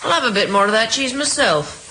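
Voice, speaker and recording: An actress playing a sceptical, gossiping household cook in an old-time radio drama, dry and knowing. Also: female voice, fairly high pitch, very animated, fast, pushed and bright. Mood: sceptical, gossipy. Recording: hissy vintage radio recording.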